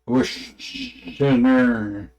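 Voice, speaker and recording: A man reading an old religious text aloud in a halting way, stumbling over words and names. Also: mid-range voice, lively intonation, creaky, darkish tone, poor audio.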